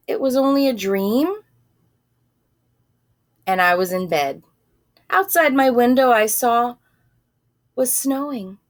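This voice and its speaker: A woman reading a picture book aloud in an expressive, animated way, with casual asides and drawn-out phrasing. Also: fairly high pitch. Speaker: storybook reader.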